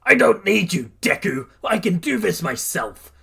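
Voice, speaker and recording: A man voicing a brash, hot-tempered teenage boy hero in a combative, shouty way. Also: high-pitched, big pitch swings, measured pace, vocal fry, slightly rough, voice pushed up, heavily acted. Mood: fired up.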